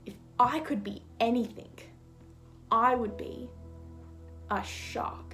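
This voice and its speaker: Female spoken-word poet, higher-pitched, rhythmic and theatrical in telling a rhymed story, clear music bed underneath. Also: slow pace, fairly bright tone, rough recording. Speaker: slam poet. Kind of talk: slam poetry recitation.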